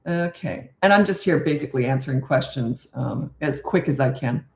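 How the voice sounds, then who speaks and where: An older woman, a parenting coach, talking blunt and chatty with a raspy voice on a live-stream Q&A. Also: very deep voice, lively intonation, moderate pace, a little mellow, roomy sound, a little hiss or hum.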